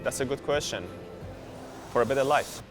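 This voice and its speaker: A man from Iran giving an interview testimony, pausing and searching for words as he speaks. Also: medium pitch, steady tone, over music. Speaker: employee sharing his story.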